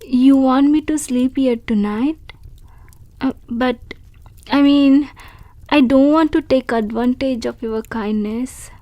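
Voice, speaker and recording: A woman voicing a shy, grateful young female wolf creature in an audio roleplay, speaking softly and politely and stammering when unsure. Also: high voice, slow pace, no echo. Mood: bashful. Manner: soft, polite and hesitant, with stammers.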